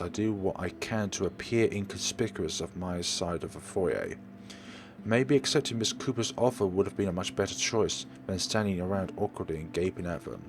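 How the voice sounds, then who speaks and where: A man reading a horror story game aloud as a let's play host, in an expressive, theatrical storytelling manner. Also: fairly low pitch. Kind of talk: dramatic scripted narration with asides.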